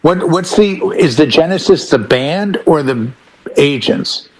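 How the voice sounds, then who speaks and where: A man co-hosting a casual panel chat, talking in an animated storytelling way. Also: a little high, unhurried, rough recording. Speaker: podcast co-host.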